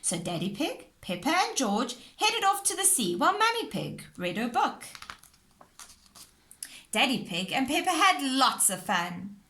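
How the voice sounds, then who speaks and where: A woman's voice: sits high, very animated, unhurried, sharp tone. A woman reading a scripted children's story aloud as narrator. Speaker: storybook reader.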